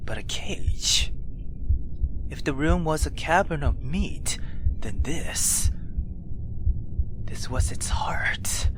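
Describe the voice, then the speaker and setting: Let's player for a horror visual novel, unhurried and theatrical, hushed to excitable, music underneath. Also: mid-range voice, low-quality recording. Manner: dramatic, excitable, whispering at tense moments. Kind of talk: expressive read-aloud of a visual novel with reactions.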